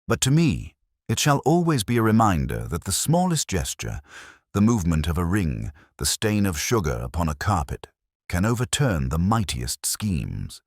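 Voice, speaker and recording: A man's voice: deep voice, sing-song, moderate pace, no echo, studio quality, exaggerated voice. A man voicing a cerebral detective who speaks in a grave, didactic way with formal Victorian phrasing. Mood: reflective.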